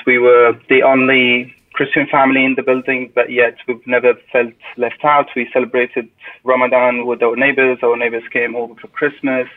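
A young man answers interview questions in a soft, thoughtful way, now and then restarting a phrase. His voice sits at a middle pitch for a man. It comes through thin and tinny, like a phone call. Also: slight background noise. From Syria. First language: Arabic. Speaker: podcast guest. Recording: tinny phone-line sound.

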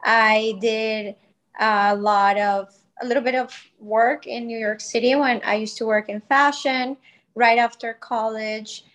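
Woman, thirties, slightly high voice, candid, informal personal storytelling, podcast guest. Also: fairly even intonation, unhurried, a bit bright. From Colombia. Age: 30s. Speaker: podcast guest, wellness business founder.

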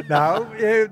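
A man's voice: high voice, fairly bright tone. A man with an Australian accent co-hosting a radio show in casual banter. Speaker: radio co-host.

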